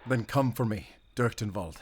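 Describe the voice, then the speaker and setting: Low-voiced, determined werewolf hunter. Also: male voice, moderate pace, breathy edge. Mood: defiant. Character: determined, weary male werewolf hunter, defiant under pressure. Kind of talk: cinematic audio drama acting. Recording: noisy outdoor night ambience.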